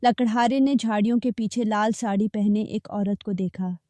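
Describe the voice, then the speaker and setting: A woman narrating a cartoon folk story in a steady, measured way. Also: mid-range voice, dry recording. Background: faint background music.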